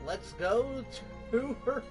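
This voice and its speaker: A man's voice: extremely high pitch, expressive, takes it slowly. A man giving casual gameplay commentary as the host of a gaming video. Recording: music behind the voice.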